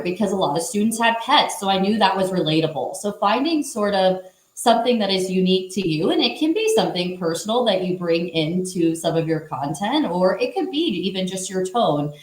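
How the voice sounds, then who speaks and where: A woman with a fairly low voice speaks fluently on a panel, explaining points and checking in with the listener. Her voice carries a noticeable room echo. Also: expressive, fairly quick. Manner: fluent, explanatory, often adds a questioning "right?". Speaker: panelist, former teacher. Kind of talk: conversational panel talk. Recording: roomy echo.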